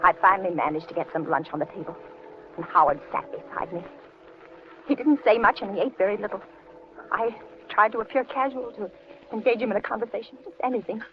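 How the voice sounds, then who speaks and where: An actress plays an older housewife in a golden-age radio thriller, trapped and afraid. Her delivery is dramatic, anxious and halting, always careful to placate. The sound is dull and aged, with a faint hiss. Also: mid-range voice, lightly acted. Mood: tense narrating. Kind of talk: old-time radio thriller acting with narration. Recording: muffled, hissy vintage radio recording.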